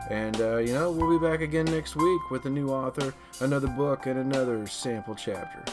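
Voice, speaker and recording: A man hosts a podcast in casual, informal speech with plenty of hesitations. His pitch is average, and guitar music plays clearly behind him. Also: a little fast. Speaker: podcast host.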